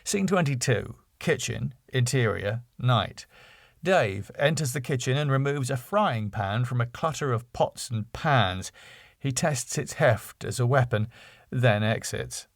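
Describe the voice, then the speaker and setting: A man's voice: mid-range voice, lively intonation, measured pace, gravelly, dry recording, clean studio sound. A man narrating a comedy script, reading out scene headings and stage directions. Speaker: writer and narrator.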